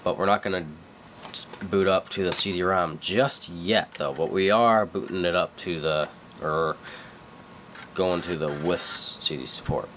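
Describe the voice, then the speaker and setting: A man's voice: low-pitched, unhurried, a bit bright, slight background noise. Stuffy-nosed young man rambling through a tutorial. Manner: rambling, self-correcting, full of fillers. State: stuffy from a cold. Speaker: tutorial maker. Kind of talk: casual screen-recorded tutorial. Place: dim room at a computer.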